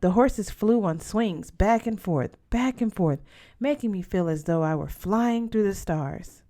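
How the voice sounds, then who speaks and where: A woman's voice: medium pitch, lively intonation, measured pace, warm tone. A woman reading a children's novel aloud as scripted narration. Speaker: audiobook reader.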